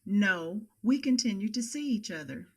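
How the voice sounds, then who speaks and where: A woman's voice: mid-range voice, lively intonation, normal speed, breathy edge. A woman acting guarded psychologist on the stand. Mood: guarded, uneasy. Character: guarded professional female psychologist testifying in court. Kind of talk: audio drama acting.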